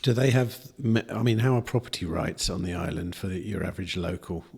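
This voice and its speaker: A man's voice: deep voice, fairly even intonation, a little fast, vocal fry, a little mellow, breathy edge. A man hosting a casual podcast interview, asking questions hesitantly with many restarts. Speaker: podcast host.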